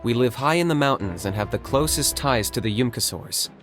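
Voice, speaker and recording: A man performs a cool young warrior for a video game. He speaks at a middling pitch in a dry, understated, matter-of-fact way, with music clearly audible behind him. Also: expressive, fairly quick, natural voice.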